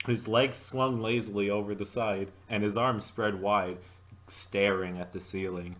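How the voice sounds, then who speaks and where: A man's voice: lowish voice, a bit bright. A man reading a story aloud as an amateur narrator, in a dramatic storytelling style. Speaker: fanfic reader.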